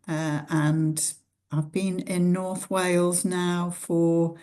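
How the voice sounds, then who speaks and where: Middle-aged Scouse woman priest, low and slow, reflective and hesitant. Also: flat delivery, mellow. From Liverpool, England. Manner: thoughtful, many ums and uhs. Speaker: pioneer priest. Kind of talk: reflective personal talk.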